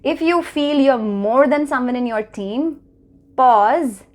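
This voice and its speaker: Woman, Indian accent, thirties, earnest, measured, fairly high pitch. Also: animated, darkish tone. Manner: earnest, measured, slows to stress key phrases. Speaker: channel host. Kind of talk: reflective personal talk to camera.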